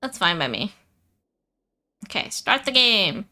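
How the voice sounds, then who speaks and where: Bright-voiced woman streaming games. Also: medium pitch, sing-song, slow. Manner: chatty, repeats phrases for emphasis. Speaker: live streamer. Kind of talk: casual gaming stream chat.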